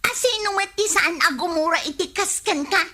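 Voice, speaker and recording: Nangato ti timekna a balasang. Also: female voice, extremely high pitch, a little fast, bright, forceful tone. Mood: indignant. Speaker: radio drama actor. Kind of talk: comedic Ilocano radio drama acting.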